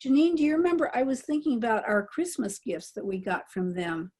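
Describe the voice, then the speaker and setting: A woman's voice: on the high side, sing-song, fairly quick, a little mellow, husky. An older woman, a panel guest, casually reminiscing about her past. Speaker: panel guest, former child radio performer.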